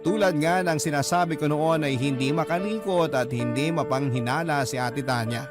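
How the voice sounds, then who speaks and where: A man's voice: a little high, moderate pace. A man reading a scripted first-person story as a radio drama narrator. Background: music.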